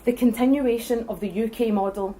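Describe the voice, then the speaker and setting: A woman's voice: a little high, fairly even intonation, brisk, a little hiss or hum. Earnest Scottish woman giving a debate speech. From Scotland. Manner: earnest, forceful, building arguments point by point. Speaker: debate speaker for independence.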